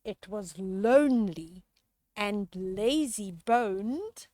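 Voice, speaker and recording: A woman storyteller reading a children's picture book aloud with expressive, drawn-out emphasis and chatty questions to young listeners. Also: mid-range voice, no echo.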